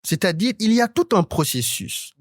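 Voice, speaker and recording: A man in his thirties from Senegal, speaking as a talk show panelist in an articulate, academic way, often pausing on hesitant fillers. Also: sits high, sing-song, no echo, clean studio sound. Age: 30s. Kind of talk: panel discussion.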